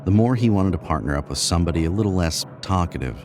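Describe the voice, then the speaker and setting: A man's voice: low voice, expressive, fast, a little mellow, faint music behind. A man narrating a scripted horror story.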